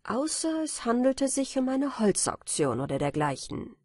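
A woman's voice: a little high, big pitch swings, moderate pace, a little mellow, slightly rough, no echo. Woman narrating fairy tales, close dry sound. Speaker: audiobook narrator. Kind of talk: scripted storybook narration for bedtime fairy tales.

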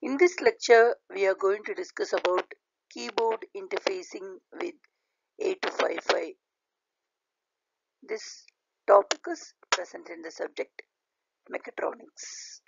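A woman lecturer reading a scripted slide lesson aloud in a deliberate, halting way, in short phrases. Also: mid-range voice, creaky, slightly rough, no echo. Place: voice-over recorded over slides. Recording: dull, lo-fi voice recording.

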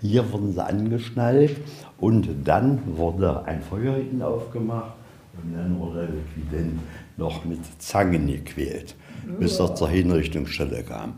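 A man's voice: sits low, moderate pace, mellow. A man guiding visitors through a museum in a folksy, rambling way, telling anecdotes informally. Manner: folksy, rambling storyteller, tags sentences with "ja". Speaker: museum guide. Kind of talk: informal guided tour with anecdotes. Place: inside a museum, on location.

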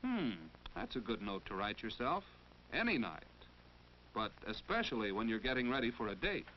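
Lively 1940s educational film narrator, male. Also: medium pitch, normal speed. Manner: didactic, rhetorical questions. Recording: muffled, noisy 1940s film soundtrack.

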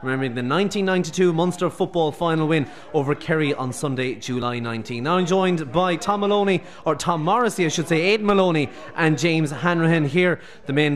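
Brisk Irish radio host, slightly high. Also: male voice. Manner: brisk, leading questions. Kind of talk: live radio sports interview. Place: busy pub, indoors. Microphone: handheld radio mic close up.

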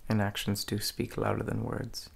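A young man with a fairly low, heavily creaky voice plays a menacing, arrogant gang leader in an ASMR role play. His delivery is slow, hushed and deliberate, with very little movement in pitch. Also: monotone. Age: young adult. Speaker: ASMR performer. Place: small dim room indoors.

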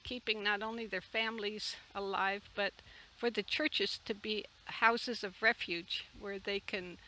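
A woman in her seventies from the Netherlands, a native Dutch speaker, giving a halting, earnest personal testimony. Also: mid-range voice, steady tone, sharp tone, rough recording. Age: 70s. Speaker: interviewee, retreat camp owner. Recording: clear background noise, outdoor ambience.

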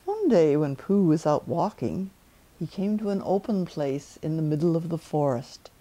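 An elderly woman reading a children's story aloud in an expressive, deliberate way, as a storyteller in her living room. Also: sits low, poor audio. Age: 70s or older.

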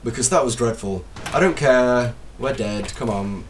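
A man with a slight cold, casually commentating his gameplay as a let's play host, excitable and self-deprecating. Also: mid-range voice, moderate pace, distant mic, slight background noise.

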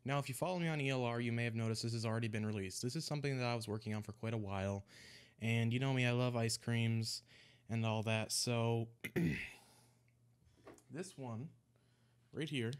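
A young man talks into a desk microphone in a small room at home, his voice slightly congested. He rambles in a relaxed, easygoing way, often restarting his words, at an ordinary middle pitch. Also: moderate pace, clean tone. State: a little congested. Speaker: e-liquid mixing vlogger. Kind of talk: casual how-to vlog. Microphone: desk microphone in front of him.

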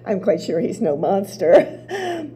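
An older woman reading the part of Psyche, an innocent young mortal woman, in a stage reading of her play, her lines halting and eager with stumbling restarts. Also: mid-range voice, sing-song, warm tone, a little hiss or hum. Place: small theater stage with audience. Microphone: table mic.

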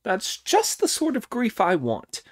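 A man voicing a brash, cheeky monkey king who talks high and loud in an audiobook. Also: wildly swooping pitch, unhurried, heavily acted. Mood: mocking. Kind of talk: audiobook character voice.

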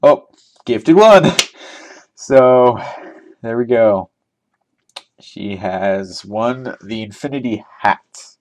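A man's voice: mid-range voice, lively intonation, very slow, long pauses. A young man hosting an online game trivia giveaway, chatting casually and thinking aloud with frequent laughs, recorded on a desk microphone. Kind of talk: casual gameplay commentary.

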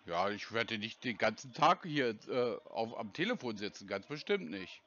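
A man's voice: lowish voice, sing-song, moderate pace, bright, forceful tone. A man baiting a scam caller on the phone, stubborn and mockingly dry. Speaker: scam-baiter taking a call. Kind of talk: phone prank call. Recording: muffled phone call audio.

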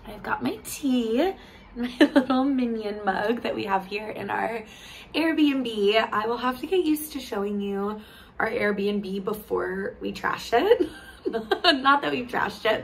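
A woman in her thirties, from California, chatting to camera on a balcony for her travel vlog, her voice a little hoarse, laughing often. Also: mid-range voice, a little hiss or hum. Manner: bubbly, laughs often, lively hand gestures. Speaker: travel vlogger. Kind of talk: casual chat to camera. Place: sunny balcony outdoors.

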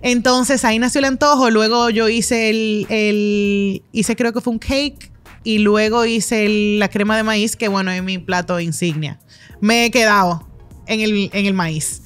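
Lively Dominican woman telling stories. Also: mid-range voice, clean tone, faint music behind. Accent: Dominican Spanish. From Dominican Republic. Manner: animated, gestures a lot, quick asides. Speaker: chef, interview guest. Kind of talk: casual interview storytelling. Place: podcast studio with brick walls and plants. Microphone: studio mic on a boom arm.

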